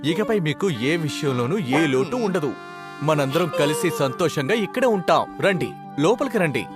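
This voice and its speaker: A man voicing a gentle, honest younger brother in a dubbed cartoon, speaking patiently and politely. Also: fairly high pitch, expressive, normal speed, natural voice. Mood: welcoming. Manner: patient, polite, earnest explaining. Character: kind, honest younger brother who became rich, gentle. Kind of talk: cartoon dubbing. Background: background music.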